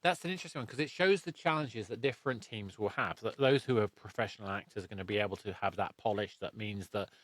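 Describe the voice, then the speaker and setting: A man's voice: lowish voice, fast talker, few pauses, a bit bright, no echo. A man hosting a podcast, chatting casually in a rambling, reflective way. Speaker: podcast host and interviewer. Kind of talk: casual interview chat.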